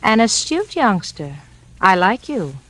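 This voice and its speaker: An actress playing a mature, shrewd femme fatale in a 1940s radio drama, sweet-voiced, poised, teasing and coolly menacing. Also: female voice, mid-range voice, big pitch swings, takes it slowly, fairly bright tone, exaggerated voice. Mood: cool seduction. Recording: 1940s radio broadcast recording.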